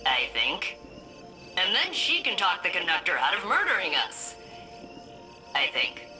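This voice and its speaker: A woman's voice: medium pitch, pushed and bright, full character voice, poor audio. An actress playing a quirky, anxious, chatty female AI companion in a video game, with nervous, wry asides. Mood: nervous, hopeful. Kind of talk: video game character dialogue.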